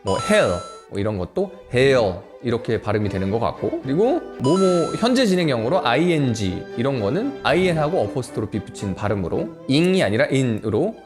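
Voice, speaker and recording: A man's voice: mid-range voice, normal speed, hardly any pauses. A man in his twenties telling a playful personal story to camera in a casual monologue. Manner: playful, lively, mimics rap-style English. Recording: faint music underneath.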